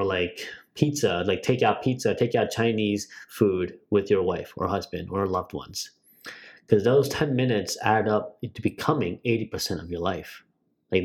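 Young man, podcast co-host, casual chat, rambling, excitable, fairly low voice. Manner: rambling, excitable, many restarts.

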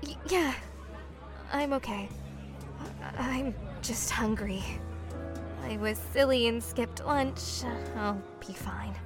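A woman's voice: fairly high pitch, slow, music in the background. A woman playing a guarded, evasive young mermaid in an audio drama, hesitant and defensive. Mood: hesitant, anxious. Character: guarded young female man-eating mermaid, evasive, prickly. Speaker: voice actor.

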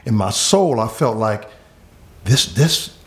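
A man who is a pastor from Miami, earnestly telling his life story in conversation, pausing often on fillers and repeated words. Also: on the low side, expressive. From Miami, Florida. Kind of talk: reflective personal storytelling in conversation.

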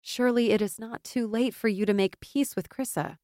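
A woman's voice: fairly high pitch, normal speed, mellow, no room sound, clean studio sound, pitched above the performer's own voice, close to an everyday voice. A woman voicing a loyal, stubborn young woman in a fantasy audiobook, insistent and impassioned. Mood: earnest. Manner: insistent, impassioned, gaining momentum. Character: fierce, stubborn, loyal young woman, pleading best friend.

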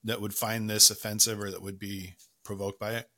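Bright-voiced male podcast host. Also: lowish voice, little pitch movement, fairly quick. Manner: encouraging, casual questions with fillers. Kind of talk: casual interview talk.

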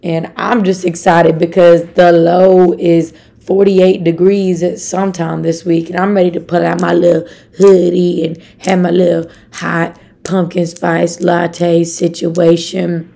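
A young woman with a raspy voice hosts her motivational podcast, chatty and upbeat and talking to her listeners like friends. Her voice sits a little low for a woman. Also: little pitch movement, measured pace, warm tone. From Arkansas, USA. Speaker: podcast host.

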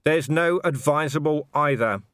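A man voicing a gruff, commanding city watch officer in an audiobook, with clipped orders and sarcastic bite. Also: on the high side, a little slow, fairly bright tone, dry recording, studio quality, voice pushed up. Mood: stubborn, sardonic.

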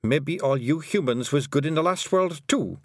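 A man's voice: a little high, animated, normal speed, no echo, studio quality, pitched above the performer's own voice. A man voicing a cheerful, scrappy little pixie warrior with a hearty, folksy manner and a Scottish accent.

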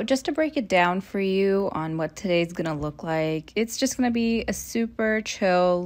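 Earnest young Canadian woman hosting a podcast. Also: fairly low pitch. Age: 20s. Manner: friendly and earnest, with frequent fillers and soft laughs. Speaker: podcast host. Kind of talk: casual solo podcast talk.

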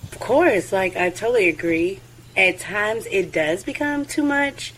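A woman's voice: mid-range voice, expressive, measured pace, rough recording. Woman guest, mid-range voice, easygoing and chatty, casual filler words. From United States. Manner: friendly, talks with fillers like "um" and "like". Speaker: podcast guest, mentor. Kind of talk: casual interview chat.

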